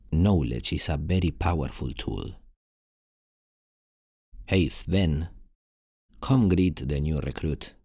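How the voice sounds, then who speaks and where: A man playing a confident, cheeky thief recruiter in a fantasy ASMR roleplay, gravelly and husky, speaking in an unhurried, playful, expressive way. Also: rumbling low, no echo, clean studio sound, full character voice. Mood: instructive. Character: confident, cheeky male thief recruiter showing a newcomer around.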